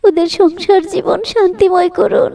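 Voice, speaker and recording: A woman's voice: extremely high pitch, fairly even intonation, moderate pace, vocal fry, warm tone, recorded from a distance. A woman voicing a humble, pious middle-aged village mother-in-law in a cartoon, with a plaintive, pleading manner. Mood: tearful praying. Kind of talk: cartoon voice acting.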